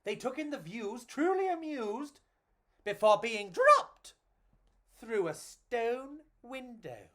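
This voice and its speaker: A woman tells stories to children in a slow, dramatic delivery. Her pitch swings widely from phrase to phrase, and she sounds affectionate and gushing, like a theatrical storytime reader. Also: sing-song, fairly bright tone.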